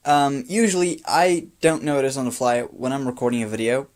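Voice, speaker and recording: A man's voice: mid-range voice, steady tone, normal speed. A sixteen-year-old boy from Brazil with a light Brazilian Portuguese accent, a native Portuguese speaker talking off the cuff to his phone camera, sounding tired and rambling with many restarts. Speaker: vlogger. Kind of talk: off-the-cuff personal talk to camera.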